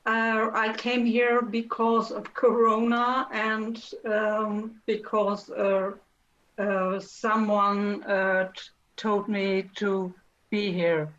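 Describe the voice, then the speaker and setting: Slow woman. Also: medium pitch, level tone, a bit bright. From Germany. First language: German. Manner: slow, halting, simple phrasing. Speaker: participant. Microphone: video call. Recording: dull lo-fi call audio with faint noise.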